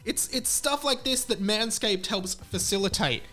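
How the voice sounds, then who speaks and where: A man with a notably high voice talks like a casual podcast host. His delivery is frank and informal, and he likes to hammer a point by repeating it. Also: unhurried, pushed and bright, soft background music. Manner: informal, frank, emphatic repetition. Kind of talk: casual podcast talk and chatty ad read.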